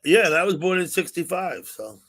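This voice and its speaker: A man's voice: high-pitched, animated, fairly quick, a bit bright. A man in his sixties hosting a casual livestream call-in chat, rambling and repeating himself. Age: 60s. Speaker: livestream host.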